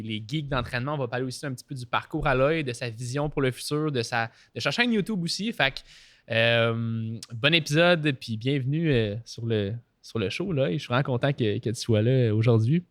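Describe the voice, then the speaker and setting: A man's voice: mid-range voice, brisk, fairly bright tone, no room sound. A man with a Québécois French accent hosting a climbing podcast, talking casually with many fillers and restarts. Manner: filler-heavy, restarts, frequent laughs. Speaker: podcast host.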